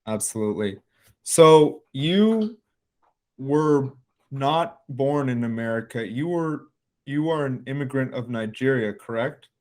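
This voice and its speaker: A man's voice: mid-range voice, lively intonation, a little slow, a little mellow. A man hosting a podcast, introducing and questioning his guest in an interview style. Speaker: podcast host.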